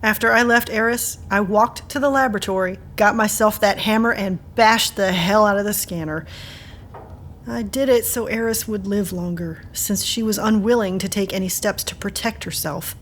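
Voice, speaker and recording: An actress playing a fiery, protective aunt in an audio drama, speaking bluntly and with emotion. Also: female voice, mid-range voice, normal speed, a little hiss or hum, lightly acted. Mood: confessional. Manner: blunt, urgent questioning.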